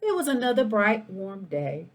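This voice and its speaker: Female storyteller for picture books: husky edge, animated and swooping, engages young listeners directly. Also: mid-range voice, moderate pace. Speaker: school principal reading a picture book aloud. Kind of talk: expressive children's read-aloud with asides to listeners.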